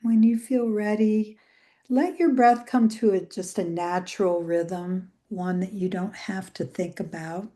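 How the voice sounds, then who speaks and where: A woman leading a guided meditation in a gentle, soothing way, with soft phrase endings that trail into breath. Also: medium pitch, steady tone. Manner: gentle, soothing, unhurried with long pauses.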